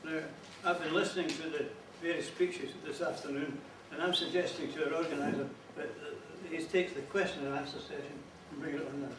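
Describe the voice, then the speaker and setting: A man's voice: fairly high pitch, fairly even intonation, far from the mic. Scottish man speaking in a noisy echoey hall. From Scotland. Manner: measured, stumbling on repeated words. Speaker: guest speaker. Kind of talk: short talk at a meeting.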